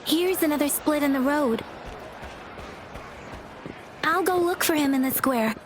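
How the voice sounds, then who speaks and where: An earnest young woman in a video game, her voice high. She sounds composed and polite, with faint ambient noise behind her. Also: a little slow.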